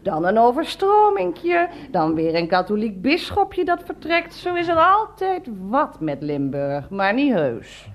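A woman's voice: a little high, huge pitch swings, a little slow, hardly any pauses. An actress playing a prim, self-righteous cleaning lady in a radio drama, formal and deferential to her employer. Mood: indignant, opinionated. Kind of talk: Dutch radio drama dialogue.

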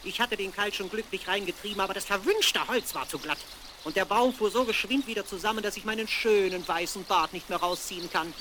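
A man's voice: high voice, brisk, noisy background, natural voice. An actor playing an ill-tempered old male dwarf who scolds and insults impatiently in a 1970s children's fairy-tale radio play. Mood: grumpy, indignant.

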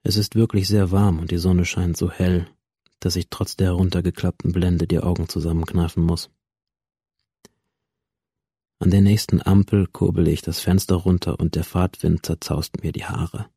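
Troubled young man, radio drama, dark tone, husky, sardonic, defiant, introspective, coarse. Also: sits low, level tone, normal speed, no echo, clean studio sound. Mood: wistful. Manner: sardonic, coarse, introspective first-person narrating. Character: young male school shooter, sullen, cynical and defiant. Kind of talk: modern radio drama acting.

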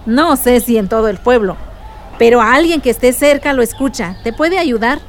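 Tía narradora actuada por una mujer, voz algo aguda. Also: female voice, a little high, expressive, moderate pace, a little hiss or hum, natural voice. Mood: lively, assured. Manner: storytelling, explanatory. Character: aunt recounting past dangers to her niece, warm, resolute. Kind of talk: radio drama acting.

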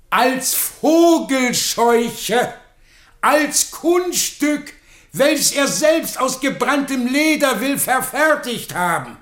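An actor playing an indignant, sarcastic defence lawyer in a radio play, declaiming pompously and swinging from hushed tones to shouts. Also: male voice, high-pitched, roomy sound, heavily acted. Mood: incredulous, mocking. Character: theatrical, indignant, sarcastic male defence lawyer pleading in court. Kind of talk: theatrical German radio play oratory.

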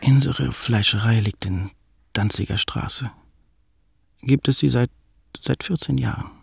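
An actor playing an obedient butcher's son, recalling his past haltingly in a radio drama monologue. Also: no room sound, heavily acted. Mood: hesitant, reflective. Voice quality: husky, gravelly. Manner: halting, low-key reminiscing with inverted word order.

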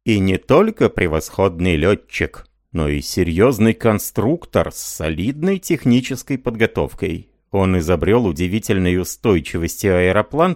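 A man's voice: low voice, darkish tone, no echo, clean studio sound. A man reading an audiobook, voicing a scheming middle-aged male spy in a suave, persuasive manner.

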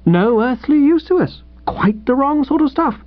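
A man voicing a jovial, gushing, patronising young English gentleman editor for an audiobook. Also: very high voice, lively intonation, moderate pace, dry recording, highs cut off, voice pushed up. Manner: gushing, breezy, chummy. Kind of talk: audiobook character voice.

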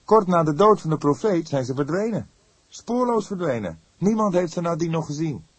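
A man acting out a young man in a detective audiobook, keyed up and anxious. The voice sits high and a little husky. He is earnest and insistent, pressing his report on the police with breathless urgency. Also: very animated, normal speed, no room sound. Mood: agitated, breathless.

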